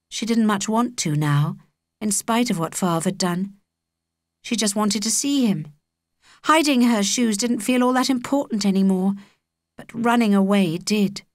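A woman with a British accent reading a children's story as an expressive audiobook narrator. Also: mid-range voice, no room sound, studio quality.

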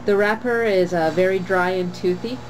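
A woman in her thirties reviewing a cigar to camera on a porch, in a calm, measured way with frequent pauses. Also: medium pitch, slight background noise, rough recording. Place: screened porch outdoors, trees behind.

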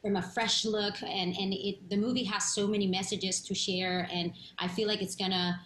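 A woman in her thirties from Puerto Rico, an actress talking excitedly about her film on a video call from home. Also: mid-range voice, moderate pace, a crowd in the background. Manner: effusive, gushing. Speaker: actress and singer guest. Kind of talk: remote TV interview. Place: home room, indoors. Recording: echoey room, faint hum.

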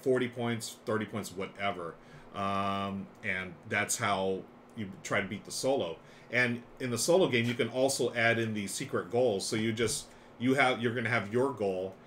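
A middle-aged man hosting a board game live stream, chatting casually and enthusiastically as he explains games. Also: lowish voice. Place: small room lined with board games. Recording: clear steady background noise.

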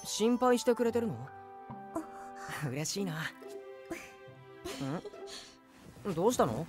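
Animated, flustered anime teenage boy played by a woman. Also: mid-range voice, moderate pace, lightly acted. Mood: gentle. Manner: stammering, excitable, reacts in outbursts. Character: flustered, earnest, good-natured teenage boy. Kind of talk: Japanese anime drama CD acting. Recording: faint music behind the voice. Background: faint background music.

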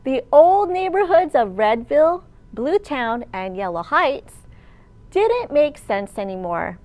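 A woman in her thirties, a children's librarian leading storytime with an animated, sing-song delivery for young children. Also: high-pitched, takes it slowly. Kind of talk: children's storytime read-aloud and teaching. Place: large open library room, indoors.

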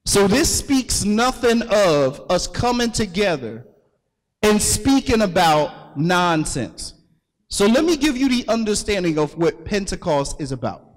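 A man delivers a sermon with a notably high voice, pausing to have scripture read aloud and echoing the lines back to the gathering. The sound carries a long reverb from a large hall. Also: very animated. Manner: calls for readings and repeats the verses back to the congregation. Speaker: preacher.

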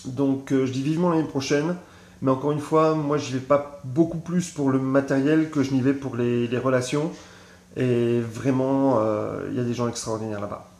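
A man in his forties talks casually to his phone camera, pausing often with fillers as he shows off his gear. His voice sits at an ordinary middle pitch. The small room around him gives the sound a noticeable echo. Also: steady tone, darkish tone. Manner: chatty, hesitant, frequent fillers. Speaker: survival gear vlogger. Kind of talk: casual show-and-tell vlog. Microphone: phone camera at arm's length.

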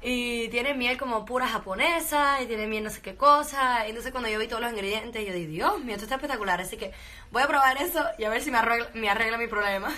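A young woman with a slightly high, bright voice and a Cuban Spanish accent, talking into a phone held close. She chats loosely and easily, full of "um" and "like", switching between Spanish and English. She sounds like she is live-streaming from her bedroom. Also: fast. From Venezuela. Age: young adult. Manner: chatty, lots of fillers, laughs often. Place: bedroom indoors.

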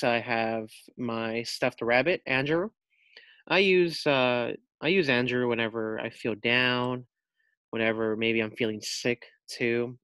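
A man's voice: mid-range voice, smooth, no room sound. Man reading to children, close dry sound. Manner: expressive reading with simple explanatory asides. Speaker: teacher reading aloud to pupils. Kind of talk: read-aloud of a children's picture book.

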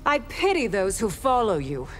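A woman's voice: medium pitch, very animated, normal speed, in a large hall, over loud music, lightly acted. A woman voicing a haughty princess commander in a video game, firm and scornful. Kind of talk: video game character dialogue.

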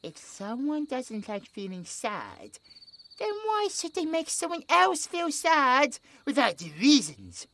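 A British man voicing a gentle, childlike possessed male creature who talks about himself in the third person with broken grammar. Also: very high voice, wildly swooping pitch, slow, slight background noise, exaggerated voice. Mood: cheerful, curious. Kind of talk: horror audio roleplay.

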